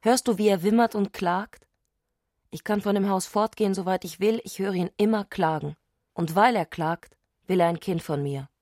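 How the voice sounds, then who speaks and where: A woman's voice: medium pitch, normal speed, darkish tone, no room sound, clean studio sound, lightly acted. An actress playing an exalted, obsessive and fervently devoted woman in a literary radio drama, intense and earnest. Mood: haunted.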